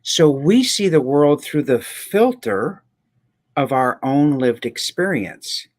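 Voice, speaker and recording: A man's voice: fairly high pitch, sing-song, slow. A man co-hosting a podcast in casual, reflective conversation, earnest and given to repeating words for emphasis. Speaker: podcast co-host.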